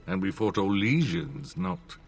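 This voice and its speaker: An actor playing a courtly, sly, greying middle-aged lord in a video game, with a gravelly voice and formal manner. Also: male voice, low voice, huge pitch swings, a little slow, heavily acted. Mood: courtly, genial. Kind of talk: video game dialogue acting.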